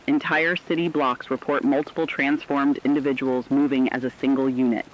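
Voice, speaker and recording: A man playing a grave radio news reporter, delivering a formal, measured emergency bulletin in a scripted drama. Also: mid-range voice, level tone, highs cut off, lightly acted. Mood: grave, urgent.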